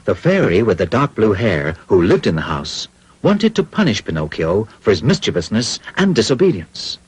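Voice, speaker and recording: A man narrating a classic cartoon fairy tale in an expressive, scripted storybook style. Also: lowish voice, normal speed, breathy edge, exaggerated voice, low-quality recording. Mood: grave, dramatic.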